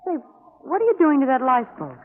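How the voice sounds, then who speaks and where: A woman's voice: high-pitched, huge pitch swings, quick pace, a little hiss or hum. An actress playing an alarmed, spirited female companion, urgent and questioning, in a 1940s radio drama. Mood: puzzled. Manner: urgent, questioning, indignant at times. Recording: tinny 1940s radio recording, music traces.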